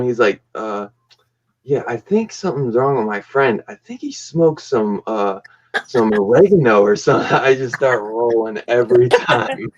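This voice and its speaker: A man's voice: fairly low pitch, highs cut off. A man chatting as a guest on a live stream, telling rambling, laid-back anecdotes. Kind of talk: casual chat.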